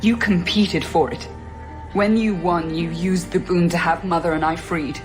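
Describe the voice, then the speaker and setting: A woman's voice: lowish voice, steady tone, normal speed, soft background music, natural voice. A woman playing an embittered, accusing freed slave in a video game drama. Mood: bitter, accusing.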